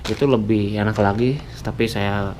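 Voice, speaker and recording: A man's voice: on the low side, steady tone, moderate pace. A man reviewing products in a casual, jokey, unscripted way on a noisy, clicky recording. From West Java, Indonesia. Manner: jokey, chuckles often, colloquial.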